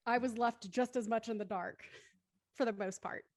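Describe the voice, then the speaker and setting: A woman host with a fairly high voice, recorded close and dry. She speaks in a casual hosting style, addressing guests and listeners directly. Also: fairly even intonation, moderate pace, a little mellow, no room sound. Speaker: host of an online radio drama after-show. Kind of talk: casual hosting, audience sign-off.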